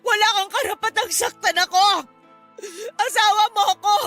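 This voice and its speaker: A woman's voice: very high-pitched, moderate pace, fairly bright tone, faint music behind. A woman playing a fed-up, defiant wife and mother scolding her husband in a Filipino radio melodrama. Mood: anguished, furious. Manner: scolding, emphatic, emotionally heated.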